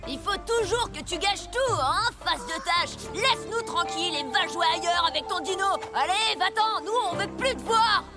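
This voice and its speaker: A woman's voice: very high-pitched, expressive, moderate pace, hardly any pauses. A woman voicing a bossy, brash and scornful child in a children's cartoon, scolding and boastful. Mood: angry. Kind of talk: French children's cartoon dubbing. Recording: loud music behind the voice.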